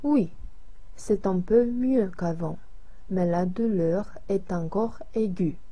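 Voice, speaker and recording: A woman voicing Marion, an active young woman who is tired from a sprained ankle, read slowly and evenly in a lesson dialogue. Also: medium pitch, warm tone, husky. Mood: weary.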